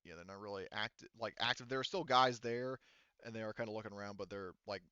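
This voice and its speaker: A man running a tabletop game as game master, narrating casually in an informal, halting manner. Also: on the low side, bright, forceful tone, smooth, no room sound. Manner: informal, halting, sometimes profane. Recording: dull, muffled lo-fi sound.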